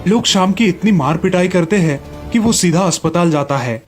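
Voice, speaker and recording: Guy narrator telling kids moral stories, voice a bit high, scripted, soft music under it. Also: expressive, moderate pace.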